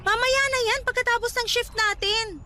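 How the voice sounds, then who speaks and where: A woman's voice: extremely high pitch, fairly even intonation, normal speed, sharp tone. A young woman with a Filipino accent playing a nagging, sensible cousin and coworker in a radio drama, scolding and emphatic. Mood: exasperated scolding.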